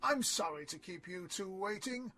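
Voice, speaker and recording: A courteous reverend played by a man speaks in a notably high voice. He is formal and businesslike, in audio drama style, over faint music. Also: expressive, normal speed. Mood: polite, businesslike. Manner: polite, formal. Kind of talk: gothic audio drama acting.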